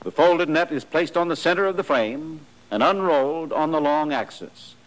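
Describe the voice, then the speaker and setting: Male, American, slightly high voice; formal, matter-of-fact instructional narration in 1940s training-film style. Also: animated, normal speed, poor audio.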